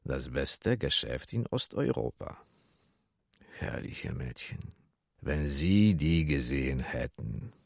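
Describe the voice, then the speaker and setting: Wheedling old haggler, slow musing delivery, very lively intonation, dry close sound, dubbed 1950s film. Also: male voice, a bass voice, vocal fry, no room sound, full character voice. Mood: sly, reminiscing. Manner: evasive, wheedling, drawn-out musing. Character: sly, rambling old Polish junk-shop dealer, evasive haggler. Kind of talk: German-dubbed 1950s film thriller dialogue.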